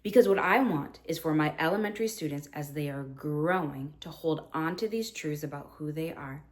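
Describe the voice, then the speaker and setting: A woman's voice: low voice, normal speed. A woman teacher in her thirties talking earnestly to other teachers about classroom practice, acting out what she says to students. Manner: earnest, animated, acts out her own quoted lines.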